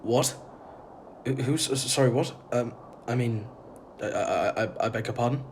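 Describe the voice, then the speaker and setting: A man's voice: medium pitch, normal speed, a little mellow, natural voice. An actor playing a polite, uneasy traveller in an audio drama, earnest and hesitant in his delivery. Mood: nervous, hesitant. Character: uneasy, polite male traveller narrating his strange homecoming. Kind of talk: audio drama narration and dialogue. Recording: clearly noisy ambience behind. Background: ambience noise.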